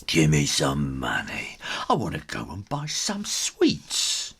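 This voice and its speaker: A menacing bully cat from a children's storybook, acted out by a man. The voice is gravelly and rough, with slow, blunt, demanding delivery that swings widely in pitch. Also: huge pitch swings, a bit bright, exaggerated voice.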